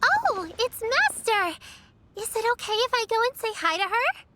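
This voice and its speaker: A woman's voice: extremely high pitch, sing-song, moderate pace, bright, forceful tone, a little hiss or hum, exaggerated voice. A woman playing a sweet, dutiful, caring little girl apprentice in an anime game, with a childlike voice. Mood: excited, affectionate. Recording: background music.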